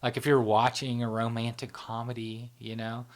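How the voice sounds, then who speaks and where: A man's voice: medium pitch, little pitch movement, moderate pace. A man hosting a podcast, explaining ideas in a loose, rambling way with many fillers and tag questions. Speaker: podcast host. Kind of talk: casual explanatory chat.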